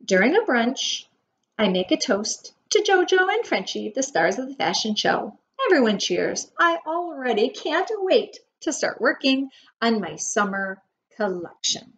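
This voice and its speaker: A woman's voice: on the high side, sing-song, a little slow, a bit bright. A middle-aged woman preschool teacher reading a picture book aloud expressively to young children, with dramatic emphasis and simple explanations. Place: indoor room at home. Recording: dull webcam audio with faint noise.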